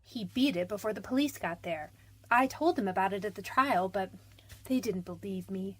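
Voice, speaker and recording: A woman's voice: mid-range voice, moderate pace, fairly bright tone, slightly rough. An actress playing a frightened but defiant young convicted woman in a radio detective drama, defensive, pleading and slangy.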